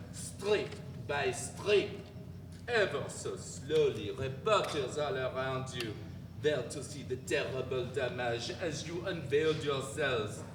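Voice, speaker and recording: A man in a live dramatic reading playing a pompous, sarcastic French intellectual with a mocking, declamatory manner. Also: a little high. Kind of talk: dramatic reading of a novel before an audience. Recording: echoey hall, noisy live event recording.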